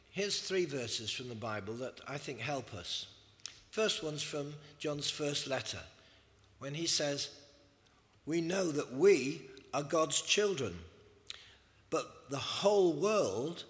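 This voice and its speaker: Slow, hoarse preacher in a reverberant church. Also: male voice, a little high, animated. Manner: slow, measured preaching with scripture readings. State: slightly hoarse. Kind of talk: sermon. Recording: long hall reverb.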